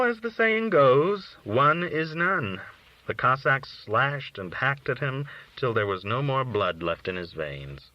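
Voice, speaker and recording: A man reading literary short stories aloud as scripted narration. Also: fairly low pitch. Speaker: audiobook-style reader of short stories. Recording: dull, lo-fi recording.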